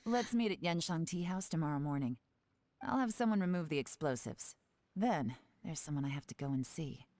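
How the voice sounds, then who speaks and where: An actress voicing a cool, composed female spy agent who speaks calmly and dryly in a video game. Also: low-pitched, moderate pace, dry recording. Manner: calm, dry, quietly commanding.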